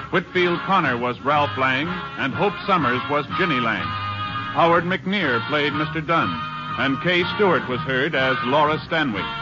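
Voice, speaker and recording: A man reading scripted announcements in the style of a 1950s radio announcer. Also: fairly high pitch. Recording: muffled old radio recording over music.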